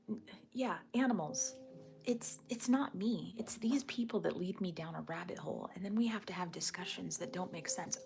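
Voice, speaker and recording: A woman hosting a casual morning livestream from home, talking in a hushed half-whisper while others sleep, rambling and joking as she reads the chat. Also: on the low side, gravelly. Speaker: livestream host reading chat. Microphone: phone or camera on a tripod.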